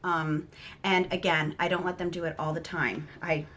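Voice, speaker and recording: A woman's voice: fairly low pitch, flat delivery, normal speed, a bit bright. A woman talking casually to viewers in an explanatory way, conversational and emphatic, often correcting herself as she goes. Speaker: homeschooling mother, video host.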